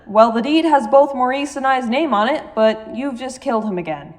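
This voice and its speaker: A woman playing a calm middle-aged ghost mother in an audio drama, measured, explanatory and dry. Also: high voice. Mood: drily reassuring.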